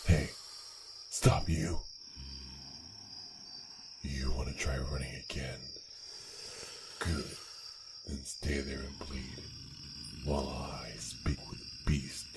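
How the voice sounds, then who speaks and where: A man as a menacing, very deep-voiced dragon hunter. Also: flat delivery, heavy background noise. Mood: threatening. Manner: commanding, threatening, deliberate. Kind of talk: ASMR fantasy roleplay.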